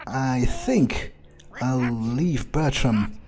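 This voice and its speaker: Man roleplaying a slow-talking, arrogant vampire. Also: mid-range voice, darkish tone. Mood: gloating. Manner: drawling, halting, smug, contemptuous. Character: arrogant, menacing, soul-hungry male vampire predator. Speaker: game player roleplaying. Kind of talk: improvised in-character game roleplay.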